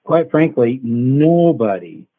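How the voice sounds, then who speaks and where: A man's voice: medium pitch, sing-song, slow, dry recording. A man phoning in an unscripted testimonial as a member of a program. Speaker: caller giving a testimonial. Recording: tinny phone call.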